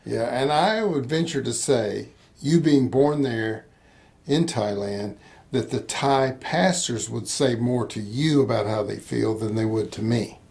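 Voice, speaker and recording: Older male interview host, gravelly texture, loose and chatty, hesitant restarts. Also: medium pitch, a little slow, darkish tone. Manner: rambling, hesitant restarts, laughs easily. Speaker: interviewer, missions organization host. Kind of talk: casual conversational interview.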